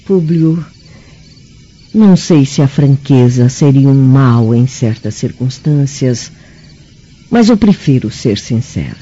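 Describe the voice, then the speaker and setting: An actress with a Brazilian accent playing a mature, haughty Roman noblewoman in a radio drama, speaking in a formal, measured, disapproving way with a husky, mellow voice. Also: a bass voice, expressive, full character voice. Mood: solemn, measured. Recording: dull, muffled radio drama recording.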